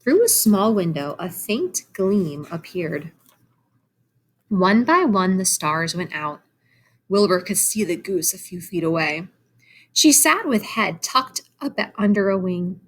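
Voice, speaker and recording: A woman reading a children's book aloud like a teacher, animated and explanatory, with asides to young listeners. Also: lowish voice, takes it slowly. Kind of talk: expressive storybook read-aloud with asides to children.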